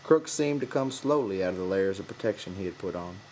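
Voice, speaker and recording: A man's voice: low-pitched, expressive, a little fast, darkish tone, slight background noise, rough recording. A man narrating a novel from a script as an audiobook reader.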